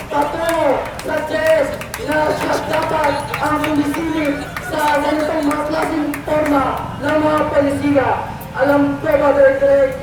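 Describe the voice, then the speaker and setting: High-pitched young Filipino woman, echoey rally speech. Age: young adult. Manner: emphatic, rhetorical, direct appeals. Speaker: student protest speaker. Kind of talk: impassioned protest speech. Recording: echoey outdoor rally, distant, crowd noise, music.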